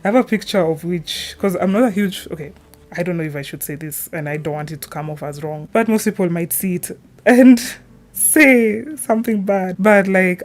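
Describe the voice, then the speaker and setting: Chatty low-voiced woman rambling over music. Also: a little mellow. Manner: chatty, halting, self-interrupting, giggly. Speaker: video creator. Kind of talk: casual rambling commentary.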